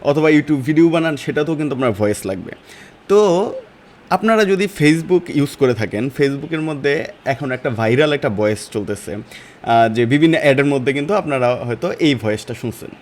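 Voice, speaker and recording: A man with a Bangladeshi accent teaching a step-by-step software lesson in informal, colloquial speech. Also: mid-range voice, expressive, moderate pace. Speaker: online course instructor.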